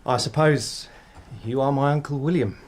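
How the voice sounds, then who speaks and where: A man's voice: mid-range voice, moderate pace, slight background noise, close to an everyday voice. A man acting a polite, hesitant young visitor from abroad in a period radio drama. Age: young adult.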